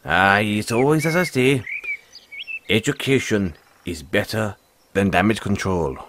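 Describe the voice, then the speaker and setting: A man voicing a friendly, philosophical dragon rider and gentle mentor in an audio roleplay, speaking in a folksy, earnest, musing way. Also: fairly low pitch, a little slow, background noise, lightly acted. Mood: thoughtful, persuading. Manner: folksy, earnest, musing, says "aye" and "lad". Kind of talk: audio roleplay addressed to the listener.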